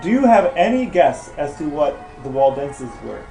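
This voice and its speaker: A man's voice: a little high, big pitch swings, moderate pace, creaky, mellow. An American man co-hosting a podcast, chatting casually and asking playful questions in a dryly mock-serious way. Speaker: podcast co-host, interviewer. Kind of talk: casual chat and playful interviewing. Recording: echoey room, faint music.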